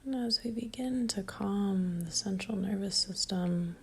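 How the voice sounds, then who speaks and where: A woman leading a guided meditation in a soft, breathy near-whisper, calm and gentle, leaving long pauses. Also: mid-range voice, a little hiss or hum.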